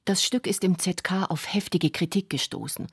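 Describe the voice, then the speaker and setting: A woman's voice: on the low side, normal speed, breathy edge, no room sound, clean studio sound. An actress playing a writer's wife, reading her memoir in a sombre, restrained way for a radio drama. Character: writer's wife recalling bitter memories, sombre.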